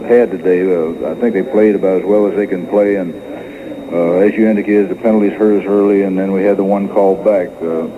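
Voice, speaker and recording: A man being interviewed as a football coach after a game, halting and repeating himself as he answers. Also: sits low, steady tone. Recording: tinny radio line with crowd noise. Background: crowd noise.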